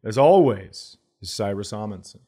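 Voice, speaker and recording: A man's voice: fairly low pitch, animated, moderate pace, mellow. An American man hosting a podcast in casual comedic chat, profane and playfully argumentative. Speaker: podcast host.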